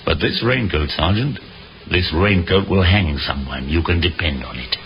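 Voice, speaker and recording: An actor playing a grave, methodical police inspector in a measured, authoritative 1950s radio drama style. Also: male voice, low-pitched, lively intonation, loud music behind the voice. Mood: brooding, certain. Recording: dull, lightly noisy 1950s radio recording.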